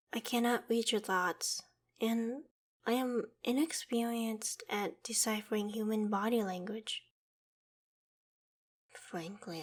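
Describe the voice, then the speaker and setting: A woman voicing a proud, vain ancient dragon in human form for an ASMR roleplay drama, with formal, haughty, theatrical phrasing. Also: fairly high pitch. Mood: puzzled, concerned.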